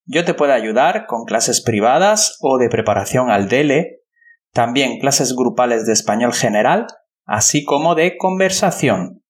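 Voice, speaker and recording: A man from Andalusia with a Spanish accent from Spain, hosting a language-learning podcast and speaking in a clear, instructional way. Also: medium pitch, animated, unhurried. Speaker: podcast host and language teacher. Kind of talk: scripted podcast introduction and interviewing.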